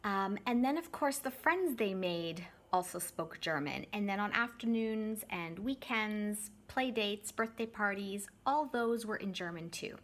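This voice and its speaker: A woman's voice: medium pitch, expressive, a little slow, few pauses, a bit bright. A woman from Canada in her thirties, talking casually to her channel's viewers and correcting her own word choices as she goes. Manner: chatty, self-correcting, friendly direct address. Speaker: YouTube vlogger and English teacher. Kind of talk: casual talk to camera.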